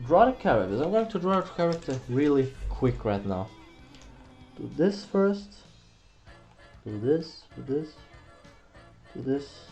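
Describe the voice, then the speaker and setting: A man's voice: fairly high pitch, very animated, a little slow, pauses often, a little mellow, faint music behind. A young man with a German accent, a native German speaker, hosting a casual drawing tutorial at home on a webcam mic, rambling and correcting himself as he goes. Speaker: art tutorial host. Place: small room at home, indoors.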